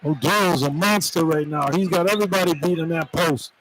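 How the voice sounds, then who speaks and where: A man's voice: on the high side, a little fast, fairly bright tone. A man giving informal, opinionated colour commentary on a live radio basketball broadcast. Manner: informal, plain-spoken, opinionated. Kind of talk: conversational live basketball analysis. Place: courtside in a college basketball arena. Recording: live arena broadcast with clear background noise. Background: noisy arena ambience.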